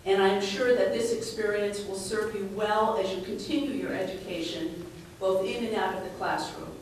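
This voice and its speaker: A woman acting as a judge gives a measured, formal welcome speech in a courtroom. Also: mid-range voice, fairly even intonation. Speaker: chief judge giving ceremony welcome. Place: large echoey courtroom. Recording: very echoey, distant mic, faint noise.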